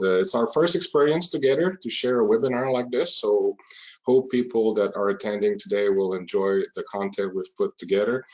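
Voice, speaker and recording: A man's voice: fairly low pitch, darkish tone. A middle-aged man from Quebec with a French Canadian accent, presenting a webinar in a chatty, informal way with frequent fillers. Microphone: video call. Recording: muffled lo-fi webinar audio.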